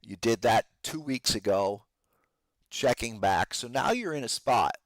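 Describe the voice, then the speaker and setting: A man's voice: mid-range voice, expressive, a little slow, no room sound. A man hosting a podcast with casual live stock market commentary, talking in informal slang, his voice strained and giving out. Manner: informal trader slang, addresses listeners as guys. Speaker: host of a trading podcast.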